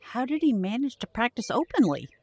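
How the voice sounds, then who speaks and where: An actress playing a focused, supportive young woman, steady and earnest, in an audio drama. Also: fairly high pitch, brisk, slightly rough, exaggerated voice. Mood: curious.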